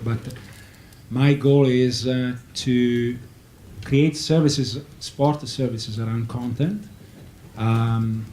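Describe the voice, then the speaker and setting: Halting man, echoey room. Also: medium pitch, warm tone, slight background noise. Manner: halting, many fillers. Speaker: panelist, company executive. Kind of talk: conference panel introduction. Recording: echoey room, faint ambience.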